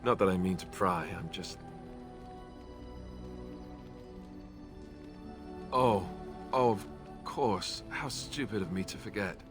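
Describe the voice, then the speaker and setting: An actor playing an awkward, earnest young warrior in a fantasy video game, speaking in a hesitant, self-effacing, conversational way. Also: male voice, low voice, normal speed, a bit bright, soft background music. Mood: apologetic, subdued. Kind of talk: video game cutscene acting.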